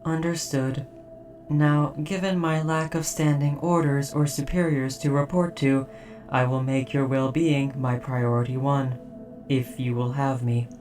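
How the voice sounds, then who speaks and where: Voice actor doing a caring robot soldier, audio drama, formal n precise, medium pitch, bit far off w music behind. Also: level tone, lightly acted. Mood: gentle, dutiful. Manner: formal, precise, measured, softly hesitant. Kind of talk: scripted roleplay audio drama.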